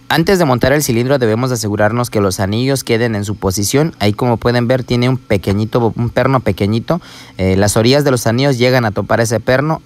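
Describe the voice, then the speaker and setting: A man narrates a hands-on repair lesson in a patient, explanatory way, speaking straight to his viewers. His voice sits at an ordinary middle pitch. He talks like a working mechanic showing each step. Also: dry recording. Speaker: small-engine mechanic. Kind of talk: step-by-step repair tutorial voice-over.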